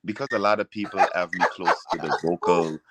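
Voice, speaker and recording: A man's voice: deep voice, steady tone, a little fast, heavy background noise. A man, a singer being interviewed, chatting casually about himself and his singing. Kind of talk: casual chat.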